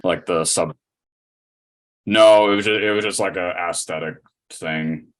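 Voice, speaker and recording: A man chatting casually as a podcast guest, with a hesitant, halting way of talking. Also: deep voice, steady tone. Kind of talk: casual chat.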